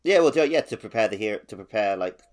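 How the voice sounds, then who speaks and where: A man's voice: lowish voice, animated, fast talker. A man co-hosting a podcast, discussing a game casually and explaining things informally with loose, conversational phrasing. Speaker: podcast co-host.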